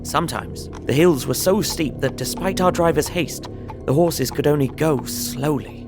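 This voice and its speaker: An actor playing an observant young gentleman traveller, narrating his journal in measured, literary audio drama style. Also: on the high side, over music, close to an everyday voice. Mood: uneasy, descriptive. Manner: measured, descriptive storytelling.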